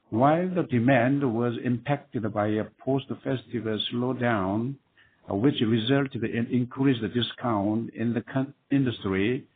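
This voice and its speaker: Male managing director, formal scripted delivery with halting phrasing, medium pitch, narrow telephone sound. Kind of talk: prepared earnings call remarks. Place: conference call. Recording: tinny phone line with clear noise.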